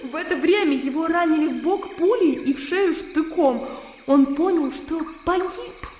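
An actress playing a lively, naive young Russian gentlewoman in a radio drama, talking in an animated, girlish way. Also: sits high, moderate pace. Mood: excited, breathless pride. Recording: echoey hall-like reverb, clear background noise.

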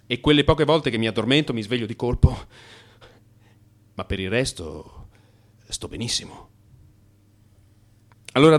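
A man acting an anxious, defensive, sleepless office worker in a theatrical radio monologue, agitated and halting as he justifies himself. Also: medium pitch, close to an everyday voice. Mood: nervous, evasive. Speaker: actor.

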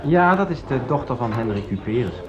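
A man's voice: medium pitch, lively intonation, a little fast, natural voice. An actor playing a polite, agreeable young man, a student son, in a radio drama. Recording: dull radio play with crowd behind.

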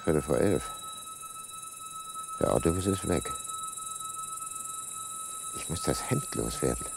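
A man's voice: extremely high pitch, huge pitch swings, takes it slowly, loud music behind the voice, heavily acted. An actor playing a middle-aged murderer in a radio drama who mutters his plans to himself and is courteous to strangers. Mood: cold. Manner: muttering inner monologue, then courteous small talk. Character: calculating middle-aged male murderer, outwardly polite. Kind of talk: 1980s radio drama acting.

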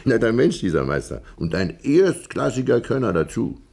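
An actor in a 1960s German radio crime drama playing a smug blackmailer posing as a major, insinuating and mock-friendly. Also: male voice, mid-range voice, big pitch swings, moderate pace, gravelly, darkish tone, husky, full character voice. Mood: jovial, sly. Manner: oily mock-friendly, insinuating, chuckling menace. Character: smug male criminal posing as a major, menacingly genial blackmailer.

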